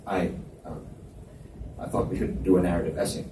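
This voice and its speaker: A man reading the part of a reserved, analytical young college student with a measured, hesitant way of talking, in live romance fiction dialogue. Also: low-pitched, level tone, pitched below the performer's own voice, close to an everyday voice. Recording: echoey room, distant mic, background noise.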